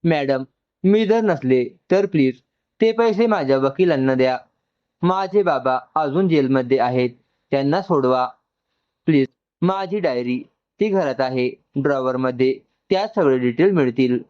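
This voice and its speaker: A man's voice: medium pitch, measured pace, natural voice. A desperate pregnant woman in an audio story, acted by a male narrator, begs selflessly for help. The sound is a little dull and lo-fi. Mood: desperate pleading. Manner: pleading.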